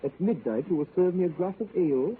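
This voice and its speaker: An actor playing a precise, cold gentleman of fixed habits, speaking in a crisp, measured way in a 1930s radio play. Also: sits high, lively intonation. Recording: tinny lo-fi 1930s radio broadcast, faint hiss.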